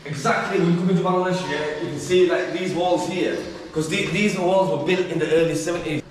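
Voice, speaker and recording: A man's voice: high voice, fairly even intonation, fairly quick, reverberant, slight background noise, poor audio. Man casually giving a village tour. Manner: joking, rambling, loose tour-guide talk. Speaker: vlogger. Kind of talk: casual chat, touring a village house. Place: old family house in a village, indoors and on upper floor.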